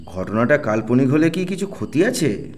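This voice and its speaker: Curious, animated sidekick played by a man. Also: mid-range voice, moderate pace, lightly acted. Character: curious male sidekick of a detective. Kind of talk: audio drama acting.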